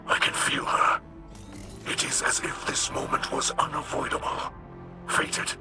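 A man voicing a solemn, devout alien warrior-mystic in a video game, with a breathy, gravelly whispering growl, speaking reverently. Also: medium pitch, lightly acted. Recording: music behind the voice.

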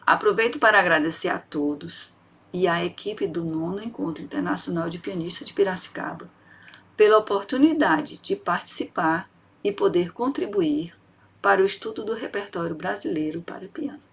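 A woman's voice: lowish voice, moderate pace, fairly bright tone, breathy edge. Brazilian woman reading a music lecture. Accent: Brazilian Portuguese. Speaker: piano professor giving a lecture. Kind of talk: read academic lecture.

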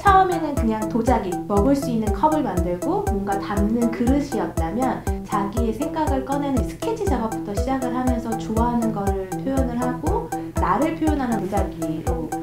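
A woman in her forties, a ceramics teacher, calmly explaining her students' progress in an interview. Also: on the high side, a little fast, hardly any pauses, reverberant, loud music behind the voice. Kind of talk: documentary interview. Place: pottery studio, indoors.

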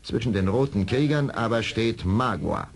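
A man's voice: on the low side, expressive, moderate pace, creaky. A man narrating an adventure radio play in a dramatic, scripted storytelling style.

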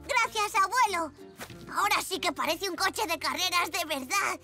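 A little cartoon zebra boy with a very high, bright voice. He is curious and imaginative, with an eager, playful childlike manner. Music plays behind him. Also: moderate pace, exaggerated voice. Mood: cheerful. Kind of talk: cartoon dub acting.

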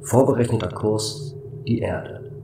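An echoing, slightly low male computer voice, acted by a man for a sci-fi audio drama. It reports dutifully and formally from some distance, without fuss. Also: a little slow, natural voice. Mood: composed, matter-of-fact. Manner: formal, matter-of-fact reporting. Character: composed, dutiful male computer assistant of space station. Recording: echoey, distant, with clear background noise.

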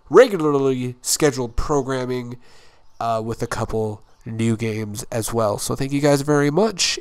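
A man's voice: medium pitch, smooth. A young man hosting a gaming show with casual, joking commentary in a playful, teasing manner.

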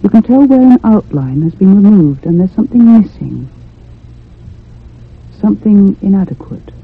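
Restless female narrator for ghost radio play, woman acting: breathy, husky, literary, phone-like recording. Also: mid-range voice, animated. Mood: brooding, measured. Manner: literary, measured storytelling. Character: anxious, restless woman recounting her haunting. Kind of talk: dramatic first-person radio narration. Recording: tinny, band-limited old radio sound.

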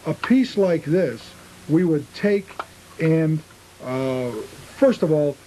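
A man's voice: a little high, animated, slow, dark tone, a little hiss or hum, poor audio. A man speaking as an interview guest, casually explaining his restoration craft on an old cable television tape. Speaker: guest, model train restorer.

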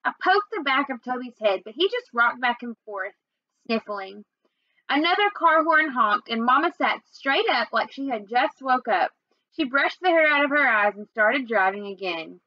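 High-pitched young woman reading aloud. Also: expressive, normal speed, dry recording, dull audio. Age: young adult. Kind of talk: read-aloud of a children's novel. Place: small indoor room at home.

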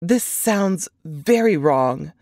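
Low-pitched, expressive woman narrating fiction. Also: very slow, no echo, clean studio sound. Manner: dramatic, expressive. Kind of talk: scripted first-person audiobook narration.

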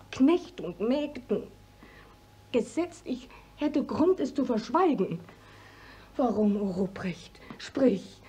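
A woman's voice: sits high, warm tone. A woman playing an anguished, defiant young village maiden in a classical German verse radio play, declamatory and earnest. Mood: pleading. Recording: muffled vintage radio play recording.

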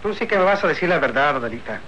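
Hotheaded young mexican rancher in radio serial, mexican accent, punchy bright voice, bit of hiss. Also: male voice, a little high, expressive, rapid-fire, dull audio. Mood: determined. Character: bold, insistent, hot-tempered young rancher hero. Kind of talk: old radio serial acting. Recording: faintly noisy old radio recording.

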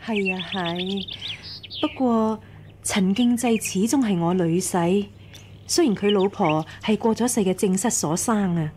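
女演員扮演猶豫不決、說話緩慢嘅大宅主母. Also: female voice, mid-range voice, a little slow, dark tone, a little hiss or hum, natural voice. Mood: hesitant. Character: hesitant widowed mistress of the household. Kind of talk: Cantonese radio drama acting.

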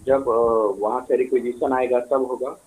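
Husky, terse man. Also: mid-range voice, steady tone, moderate pace, darkish tone, low-quality recording. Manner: terse, matter-of-fact. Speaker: official answering a call. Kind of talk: brief phone replies.

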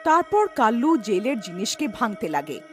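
A woman's voice: a little high, big pitch swings, normal speed, faint music behind. A woman narrating a scripted cartoon story as its narrator.

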